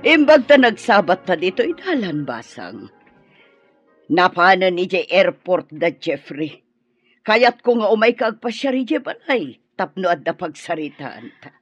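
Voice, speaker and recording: A scheming, bossy middle-aged mother in a radio drama, disapproving and full of complaint. Her pitch swings widely from phrase to phrase. The sound is dry and somewhat dull, like lo-fi broadcast audio. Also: sing-song, measured pace, slightly rough, no echo, faint music behind, full character voice. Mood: conspiratorial. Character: scheming, disapproving mother plotting against her son's wife. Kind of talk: Ilocano radio drama acting.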